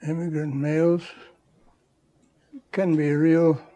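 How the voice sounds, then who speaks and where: Slow older man, mellow voice. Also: on the high side, little pitch movement. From Netherlands. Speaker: interviewee. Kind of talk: oral history interview. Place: living room, seated on a sofa.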